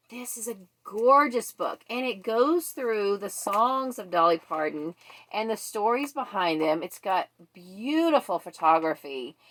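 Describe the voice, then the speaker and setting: A woman's voice: medium pitch, fairly bright tone. A woman hosting a casual book vlog, chatting to viewers in a rambling way that wanders off on tangents.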